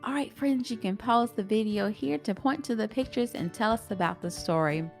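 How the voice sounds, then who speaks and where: A woman reading a children's story aloud in an expressive, sing-song rhyming delivery. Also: fairly high pitch, moderate pace, soft background music.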